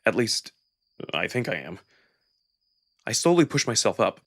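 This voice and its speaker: Expressive male narrator with lively pitch. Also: a little slow, noisy background. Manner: expressive, acted-out delivery. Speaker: narrator and voice performer. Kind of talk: dramatic first-person scripted narration of a visual novel.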